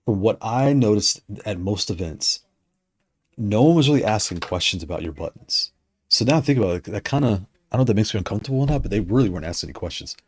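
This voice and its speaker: A man chatting casually on a livestream, rambling and correcting himself as he goes, his voice going hoarse. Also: lowish voice, lively intonation, fast talker. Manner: rambling, self-correcting, conversational. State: losing his voice. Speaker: streamer discussing controllers.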